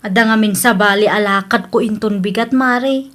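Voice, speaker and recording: A woman's voice: medium pitch, normal speed, a bit bright, close to an everyday voice. A woman playing a secretive, carefree housemaid working abroad in a comedy radio drama, teasing and dismissive. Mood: evasive.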